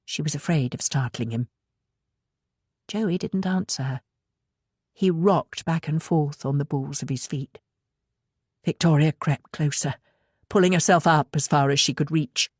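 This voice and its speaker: A woman reading an audiobook as dramatic fiction narration, with expressive, measured storytelling. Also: deep voice, slightly rough, dry recording, clean studio sound.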